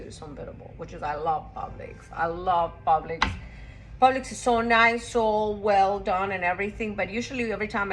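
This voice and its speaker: A woman's voice: medium pitch, a bit bright, low-quality recording. A woman from Costa Rica chatting casually while she cooks in her home kitchen, rambling and blunt with her asides. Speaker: home cook vlogger. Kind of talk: casual cooking vlog.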